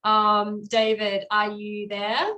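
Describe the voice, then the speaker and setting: A woman's voice: medium pitch, little pitch movement, slow, bright, forceful tone. A woman hosting an online event and introducing speakers. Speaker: event host.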